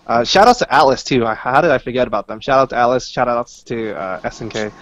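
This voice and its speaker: A man's voice: medium pitch, quick pace, a bit bright. A man chiming in casually as a podcast guest, repeating his phrases, on a dull connection. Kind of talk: casual chat.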